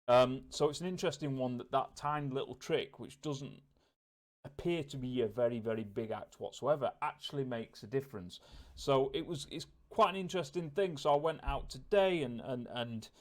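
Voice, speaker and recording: A man's voice: mid-range voice, moderate pace. A man talking casually and reflectively in a personal vlog, rambling a little and repeating words as he thinks aloud. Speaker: vlogger. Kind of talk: casual vlog talk to camera, self-reflective.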